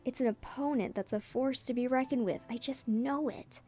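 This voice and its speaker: A woman's voice: high-pitched, normal speed, dark tone, slightly rough, soft background music. A woman voicing an earnest, brooding young male gang leader in a solemn, dramatic webcomic reading. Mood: brooding, resolute.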